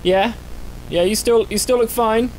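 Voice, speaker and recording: A young man hosting a gaming video with casual reaction commentary. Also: a little slow. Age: young adult. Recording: clear rumbling game noise behind. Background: horror game rumble.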